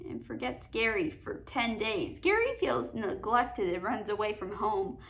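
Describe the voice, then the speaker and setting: A woman with a notably high voice hosts a casual commentary video. She moves between chatty remarks and read-aloud summaries. Her delivery is halting, with frequent stumbles and repeated words. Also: fairly bright tone. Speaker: host of a cartoon countdown video.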